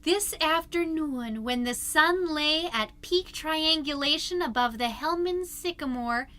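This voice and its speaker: A woman's voice: high-pitched, measured pace, fairly bright tone, voice pushed up. A woman voicing an earnest, mystical new-age mom with florid, solemn delivery, reading a letter in a comedy podcast. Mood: solemn, dramatic. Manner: florid, portentous, formal.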